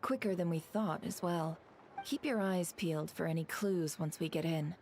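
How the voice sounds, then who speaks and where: A woman playing a composed, self-assured investigator in a video game, measured and matter-of-fact. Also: lowish voice, darkish tone, slight background noise, lightly acted. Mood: calm, assured.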